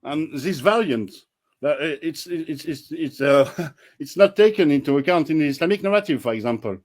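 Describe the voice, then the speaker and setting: A man, a guest researcher on a panel, explaining things in a blunt, firm and measured way. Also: on the high side, expressive, a little mellow. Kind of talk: casual panel discussion.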